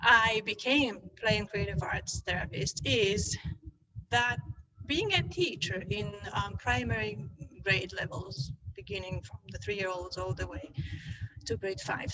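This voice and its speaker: A middle-aged woman from Poland giving an enthusiastic online webinar talk, often pausing to rephrase as she goes. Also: a little high, bright, forceful tone, slight background noise, poor audio. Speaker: presenter, play therapist and education consultant.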